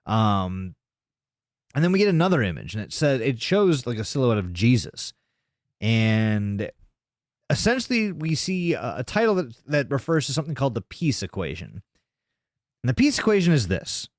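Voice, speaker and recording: Male podcast host, medium pitch, animated delivery, loose sweary conversational style, dry sound. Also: normal speed, no room sound. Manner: sweary, informal. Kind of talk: casual podcast chat, recounting a video.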